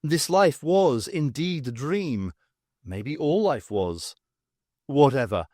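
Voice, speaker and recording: Slow, dry male audiobook narrator. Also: fairly high pitch, animated, mellow, no room sound. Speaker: audiobook reader.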